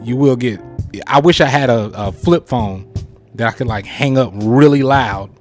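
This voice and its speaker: A man's voice: medium pitch, moderate pace, darkish tone, soft background music. A man hosting a casual live radio show, with showy introductions, on a close studio mic. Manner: showy introductions with repeated catchphrases.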